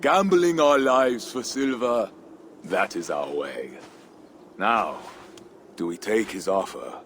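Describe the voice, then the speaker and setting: An actor playing a proud, mature Viking warlord in a video game, boastful and defiant in manner. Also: male voice, lowish voice, lively intonation, slow, fairly bright tone, a little hiss or hum, exaggerated voice. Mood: bold. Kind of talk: dramatic cinematic game acting.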